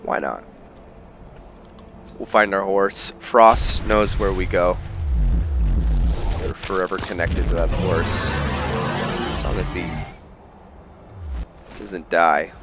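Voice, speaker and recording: A man's voice: low voice, steady tone, takes it slowly, long pauses, music in the background. A man doing casual let's play commentary, thinking aloud to his viewers and slipping into roleplay. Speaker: gaming video host.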